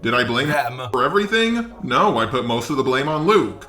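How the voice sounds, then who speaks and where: A man's voice: medium pitch, lively intonation, moderate pace, sharp tone, echoey room. A man doing combative, profane online commentary with a sarcastic, exasperated way of talking. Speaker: YouTube commentator.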